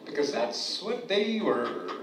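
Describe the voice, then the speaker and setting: Crude rambling young comic in a noisy room. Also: male voice, on the high side, big pitch swings, slow. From New Jersey. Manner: crude, rambling, full of fillers and laughing at his own jokes. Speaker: stand-up comic at an open mic. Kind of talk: stand-up comedy set. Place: comedy club room with a live audience. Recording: echoey room, far from mic, loud hiss.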